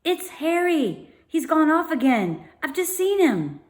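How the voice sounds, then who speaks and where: Woman acting young girl, older sister, bossy, impatient, scolding, high pitch, storybook character. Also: very animated, unhurried. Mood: urgent. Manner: snappy, urgent, scolding.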